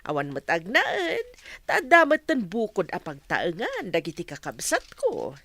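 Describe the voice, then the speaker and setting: A woman playing a breezy, generous returning old school friend in an Ilocano radio comedy-drama, with an Ilocano accent and drawn-out, expressive delivery. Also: medium pitch, exaggerated voice. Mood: friendly, breezy.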